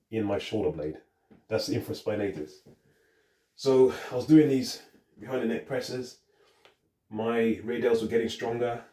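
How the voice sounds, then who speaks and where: Deep, mellow-voiced man talking casually. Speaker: fitness vlogger. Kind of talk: casual rambling vlog talk to the phone camera. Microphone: handheld phone.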